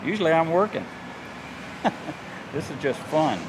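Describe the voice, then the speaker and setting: A man's voice: on the high side, very animated, unhurried. A man in his sixties chatting casually, with a playful, sly, folksy manner. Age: 60s. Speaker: airport worker interviewed on location. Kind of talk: casual chat. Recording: noisy field recording, vehicle sounds.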